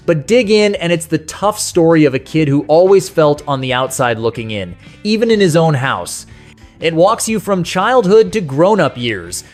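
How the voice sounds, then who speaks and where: Man, slightly high voice, scripted countdown narration, folksy and sentimental storyteller, music behind.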